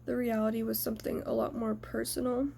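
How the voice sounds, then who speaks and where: A young woman from the United States giving a prepared personal reflection in a measured, earnest way. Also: fairly high pitch, level tone, gravelly, mellow, breathy edge.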